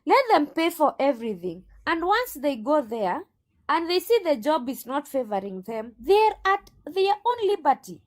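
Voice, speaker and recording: A woman's voice: sits high, very animated, normal speed. A woman with a Kenyan accent answering as a recruitment agent in an interview, assertive and emphatic, often repeating herself.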